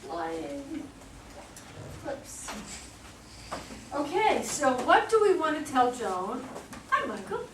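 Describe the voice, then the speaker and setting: A woman's voice: sits high, sing-song, slow pace, gravelly, pauses often. A woman leading a casual feedback discussion. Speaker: discussion leader. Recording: very noisy echoey room, distant mic.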